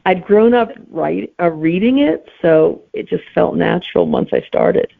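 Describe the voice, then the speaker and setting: A woman's voice: fairly low pitch, expressive, a little slow, gravelly. A middle-aged woman talking casually as an interview guest, over a narrow, tinny line. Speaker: guest author and teacher.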